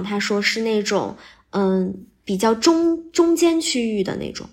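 A woman guest on a podcast, a voice actor, chatting casually in a candid, self-effacing way. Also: medium pitch. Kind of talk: casual interview chat.

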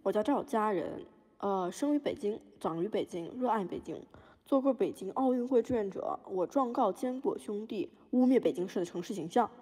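Woman playing an indignant, animated older Beijing busybody. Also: mid-range voice, normal speed, slightly rough, in a large hall. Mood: proud, aggrieved. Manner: aggrieved, boastful, busybody. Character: indignant older Beijing female citizen, proud meddling plaintiff. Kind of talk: satirical podcast courtroom drama.

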